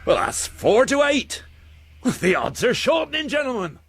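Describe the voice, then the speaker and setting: A British actor playing a gruff, stoic sea captain giving orders in a radio drama. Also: male voice, very high-pitched, big pitch swings, measured pace, fairly bright tone, exaggerated voice. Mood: stoic, wry. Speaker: ship's captain character.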